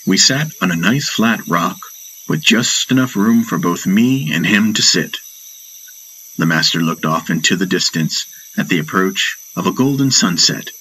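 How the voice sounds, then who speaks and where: A man reading a first-person fantasy audiobook in a measured, dramatic storytelling manner, with music behind him. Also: sits low, expressive, bright, forceful tone, slight background noise, poor audio. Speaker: audiobook narrator.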